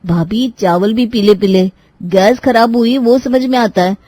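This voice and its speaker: A woman acting a high-pitched young sister-in-law. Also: unhurried, warm tone, no room sound. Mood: whiny complaint. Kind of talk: Hindi moral-story cartoon acting.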